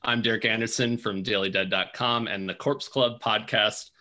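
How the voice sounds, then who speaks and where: A man's voice: lowish voice, steady tone, moderate pace, pushed and bright. A man hosting a film Q&A over video, polished and enthusiastic in how he frames his questions. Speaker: host. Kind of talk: interview hosting.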